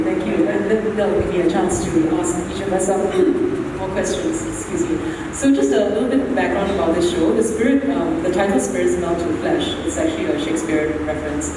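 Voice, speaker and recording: A man from Singapore, a curator, giving a halting gallery talk with many ums. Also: fairly high pitch. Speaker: exhibition curator. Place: large gallery building. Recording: echoey hall, far from mic, noisy.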